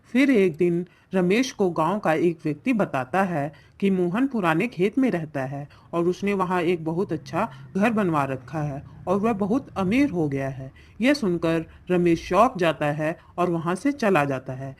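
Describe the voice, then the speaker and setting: A woman's voice: fairly low pitch, animated, unhurried, few pauses. A woman reading scripted narration as the storyteller of a cartoon fable.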